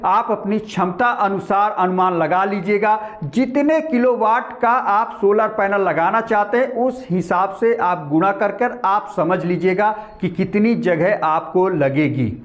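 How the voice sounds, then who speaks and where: A middle-aged man with an Indian accent presenting a scripted explainer in a formal, methodical way. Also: high-pitched. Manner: formal, methodical, enumerating points. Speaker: presenter of an informational channel. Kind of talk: scripted explainer addressed to viewers.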